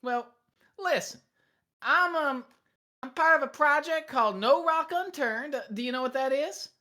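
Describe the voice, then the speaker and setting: Woman acting, friendly hiker, high-pitched, bright tone, casual, folksy, persistent, audio drama table read. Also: normal speed. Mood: friendly, explaining.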